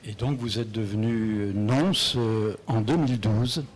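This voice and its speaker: A man's voice: fairly low pitch, measured pace. Man asking radio interview questions. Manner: steady, clear questioning with brief setups.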